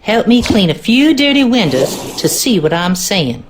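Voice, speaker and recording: A woman's voice: mid-range voice, sing-song, normal speed, noisy background, natural voice. An actress playing a wry, superstitious cleaning woman in a video game, with a Southern US accent and a knowing, ominous way of talking. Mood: knowing, conspiratorial. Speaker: game character, cleaning lady. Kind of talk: video game character dialogue.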